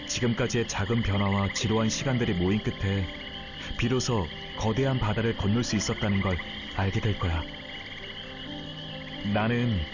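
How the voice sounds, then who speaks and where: A man's voice: fairly low pitch, highs cut off, close to an everyday voice. A man playing a kind, patient and sincere young male teacher in a game story. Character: gentle, earnest, seasick young male teacher, idealistic. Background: loud music.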